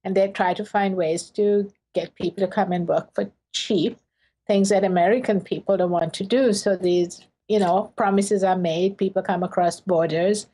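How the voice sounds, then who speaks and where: Woman from Sri Lanka, professor guest, earnest, halting, dark warm tone. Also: mid-range voice, fairly even intonation. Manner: earnest, speaks in short phrases with fillers. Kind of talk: interview answers.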